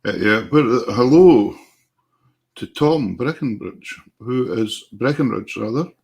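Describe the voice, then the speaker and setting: A Scottish man presents a live online music show in a mid-pitched voice. He talks casually, chatty and folksy, as if addressing listeners directly. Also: expressive, a little slow, vocal fry, a little mellow, breathy edge. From Scotland.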